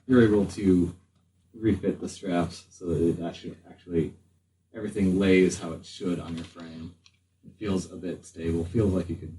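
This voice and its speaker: A man narrating a tabletop game as game master, often pausing mid-sentence as he describes the action. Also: deep voice, warm tone. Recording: echoey room, distant mic, noise.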